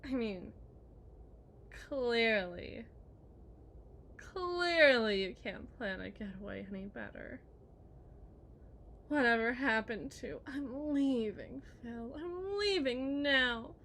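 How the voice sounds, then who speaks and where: A possessive, unhinged kidnapper wife, acted by a woman in a theatrical ASMR roleplay. Her voice is high and sharp, and she draws her words out slowly as she mocks and pleads, swinging between hushed and shrill. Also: animated, a little hiss or hum, heavily acted. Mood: desperate, mocking, then taunting.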